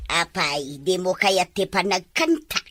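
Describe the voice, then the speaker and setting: A woman's voice: fairly low pitch, big pitch swings, noisy background. A woman with an Ilocano accent playing a giddy village woman in a comic drama, who drawls and breaks into bits of song. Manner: slow, drawling, sings snatches of song.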